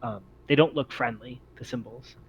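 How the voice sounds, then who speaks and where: A man acting as game master in an online tabletop game, narrating scenes in a halting, improvised way. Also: mid-range voice. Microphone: online voice call. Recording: muffled, noisy online voice chat.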